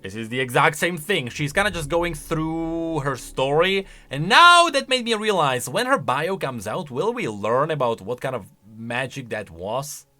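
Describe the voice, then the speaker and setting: Bright, animated man giving game commentary. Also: fairly high pitch, a little slow, few pauses. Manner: conversational, speculative, rhetorical questions. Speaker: video game lore commentator. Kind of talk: casual reaction commentary. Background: faint music.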